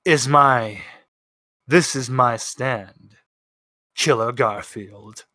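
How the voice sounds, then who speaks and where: A man voicing a self-satisfied cartoonist in his twenties, giving a measured, precise, quietly menacing monologue in a tabletop game. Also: mid-range voice, no room sound, studio quality. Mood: smug.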